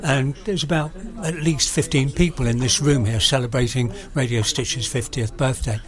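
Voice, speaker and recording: A man's voice: medium pitch, steady tone, moderate pace. A man in his sixties from South Wales with a Welsh accent, chatting earnestly as a guest on hospital radio. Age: 60s. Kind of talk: casual radio interview. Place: busy room at a radio party. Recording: crowd chatter in the room. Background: crowd chatter.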